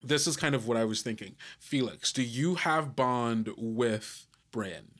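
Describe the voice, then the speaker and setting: A man working as game master, narrating a tabletop adventure in halting, deliberate phrases. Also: medium pitch, fairly bright tone.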